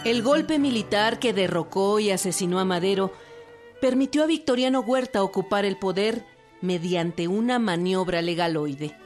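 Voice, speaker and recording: Narrator brief: woman, medium pitch, scripted documentary radio read, soft music underneath. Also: animated, moderate pace.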